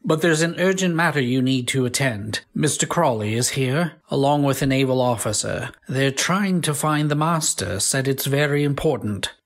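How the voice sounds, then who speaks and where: A man's voice: medium pitch, moderate pace, hardly any pauses, lightly acted. A man playing an anxious, deferential servant in a radio drama, formal and urgent. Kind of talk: old-time radio drama acting.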